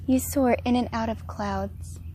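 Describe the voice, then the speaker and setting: A woman leading a guided meditation in a gentle, unhurried, soft-spoken way. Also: on the high side, steady tone, slight background noise. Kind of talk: guided meditation, slow soothing narration.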